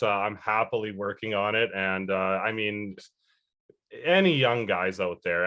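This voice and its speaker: A man's voice: lowish voice, fairly bright tone. A man in his early thirties answering interview questions earnestly, rambling a little with fillers and restarts. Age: 30s. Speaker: interview guest.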